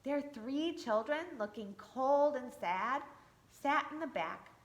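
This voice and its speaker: A woman's voice: high voice, lively intonation, slow. A woman reading a picture book aloud to children for a church, with chatty asides to her young listeners. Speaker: church storyteller. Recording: echoey room.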